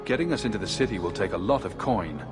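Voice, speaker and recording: A man's voice: on the low side, expressive, quick pace, natural voice. An actor playing a sarcastic young male refugee hero with a dry wit. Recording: game audio with reverb and music.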